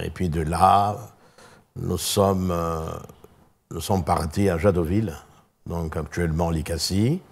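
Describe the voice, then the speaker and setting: Low-voiced older Congolese pastor. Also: male voice, darkish tone. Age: 60s. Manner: many filler pauses, measured storytelling. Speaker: pastor guest. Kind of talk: hesitant, thoughtful recounting. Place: television studio.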